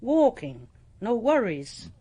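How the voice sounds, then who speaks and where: A woman's voice: fairly high pitch, very animated, slow pace, dark tone, breathy edge, heavily acted. An actress playing a kindly, plain-spoken old country landlady in a radio drama, with an English accent and a homely, good-humoured, matter-of-fact manner. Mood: frank, kindly. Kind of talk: naturalistic radio drama acting.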